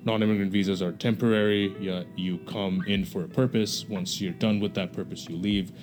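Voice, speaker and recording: A man's voice: lowish voice, fairly even intonation, moderate pace, over music. A young man from Bangladesh, a podcast guest, explaining things casually with many hesitations and fillers.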